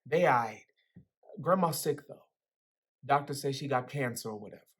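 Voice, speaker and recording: A woman's voice: rumbling low, lively intonation, normal speed, slightly rough, heavily acted. A woman playing a cocky, teasing young man with slangy, swaggering speech in a dramatic reading of a novel. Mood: subdued.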